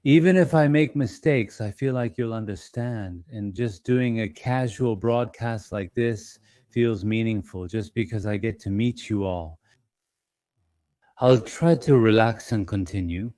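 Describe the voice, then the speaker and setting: A man hosting an investing channel, talking in a gentle, reassuring and slightly apologetic way to his members, with small fillers as he thinks aloud. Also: lowish voice, measured pace, no echo, clean studio sound. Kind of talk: casual live-stream talk to members.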